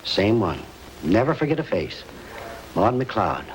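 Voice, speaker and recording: A rambling old circus hand. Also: male voice, on the low side, big pitch swings, measured pace, low-quality recording. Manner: rambling, insistent, folksy. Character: eccentric older male ex-circus hand. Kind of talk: 1950s radio drama acting. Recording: old radio broadcast with hiss.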